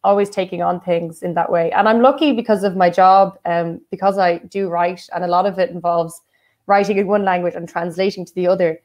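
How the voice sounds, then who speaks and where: A woman with an Irish accent and a fairly low voice talks easily as an interview guest. She thinks aloud as she goes, with frequent "um" and "like" fillers. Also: fairly even intonation, brisk, a little mellow. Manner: thoughtful, with many "um" and "like" fillers. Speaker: guest, writer and translator. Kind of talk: casual conversation.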